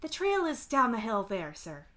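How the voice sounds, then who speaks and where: A woman's voice: sits high, very animated, moderate pace, voice pushed up. A woman reading the part of a polite but firm female scientist in a story.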